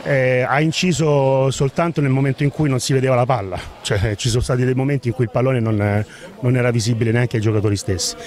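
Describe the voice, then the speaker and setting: A middle-aged man with an average-pitched voice talks as a team coach. He gives a post-match interview outdoors, speaking into a reporter's handheld microphone. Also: darkish tone. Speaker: football coach. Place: outdoors beside a sports ground.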